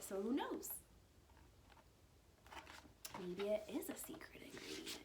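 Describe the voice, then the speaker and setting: A woman reads a picture book to young children in an animated, dramatic way, dropping into hushed asides. Her voice sits at a medium pitch. The room adds a noticeable echo, with faint noise underneath. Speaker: teacher reading aloud to first graders. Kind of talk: expressive children's storybook read-aloud.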